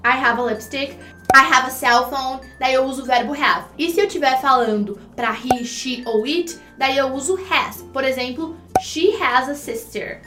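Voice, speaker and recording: A woman's voice: high-pitched, unhurried, reverberant, over music. A Brazilian woman co-hosting an online English lesson, explaining step by step with examples in a conversational way. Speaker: English teacher and co-host.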